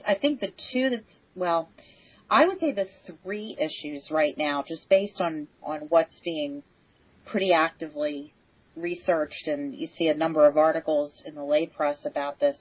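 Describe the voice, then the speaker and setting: A woman with a very low, rough-edged voice explains things as a guest in a panel discussion. She picks her words as she goes, carefully qualifying them. The recording is a little dull and lo-fi. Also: normal speed, no room sound. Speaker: expert guest on a podcast. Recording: dull, band-limited audio.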